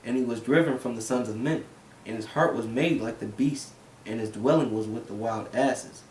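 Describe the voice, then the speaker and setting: A man's voice: medium pitch, normal speed, darkish tone. Man reading scripture in an echoey room. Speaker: scripture reader. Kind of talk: reading scripture aloud with comments. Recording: echoey room, distant mic, faint hiss.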